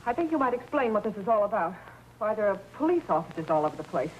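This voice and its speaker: An actress playing an evasive wife under suspicion in a 1950s TV crime drama, haughty and defensive. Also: female voice, mid-range voice, very animated, quick pace, natural voice. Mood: indignant. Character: indignant, evasive wealthy wife suspected of murder. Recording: noisy 1950s TV soundtrack.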